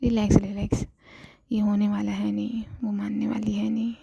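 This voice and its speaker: Cheerful young woman, whispered, dark gravelly tone, played by a woman narrator. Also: mid-range voice, flat delivery, normal speed, no room sound, full character voice. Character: cheerful young woman, new car owner.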